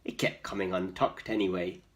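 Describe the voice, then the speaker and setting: A man's voice: deep voice, little pitch movement, moderate pace, natural voice. A man voicing a kind, proud, cheerful giant in a hearty, sing-song manner for a children's storybook. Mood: cheery, kind.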